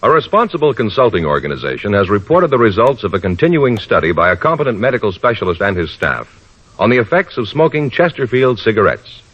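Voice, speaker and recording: A man's voice: on the low side, sing-song, slightly rough. A male announcer with an American accent reads a formal, scripted 1950s radio advertisement. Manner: formal, measured phrasing. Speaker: commercial announcer. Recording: dull old radio recording.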